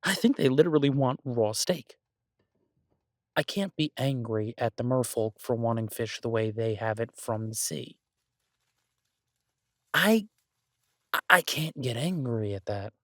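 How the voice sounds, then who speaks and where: A man's voice: mid-range voice, animated, a little slow, pauses often, no echo, clean studio sound, lightly acted. A man playing an exasperated yet affectionate chef boyfriend in a roleplay audio, ranting incredulously and conversationally to his partner. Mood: exasperated, bemused. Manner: ranting, incredulous, emphatic with repeated words. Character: exasperated but affectionate human boyfriend, restaurant chef for supernatural guests.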